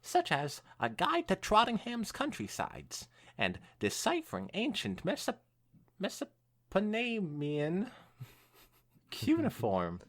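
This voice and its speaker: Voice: mid-range voice, wildly swooping pitch, slow. A podcast co-host reading fan fiction aloud as narrator, with casual asides. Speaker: podcast co-host and story reader.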